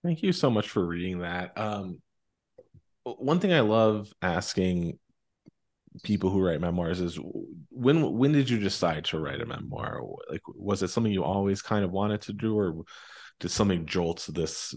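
Deep-voiced man interviewing casually. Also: expressive, measured pace, a little mellow. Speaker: podcast host, interviewer.

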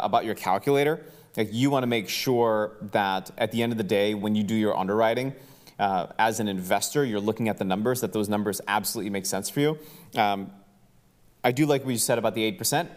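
A man's voice: lowish voice, a little fast. A man hosting a podcast in a casual, jokey way, talking informally and stumbling here and there. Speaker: podcast host. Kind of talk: casual interview and event promo.

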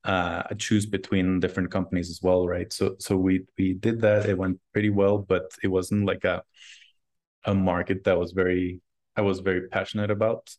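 Deep male voice, very flat delivery, startup founder, casual interview, halting speech with repeated words. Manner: hesitant, many repeated words and fillers.

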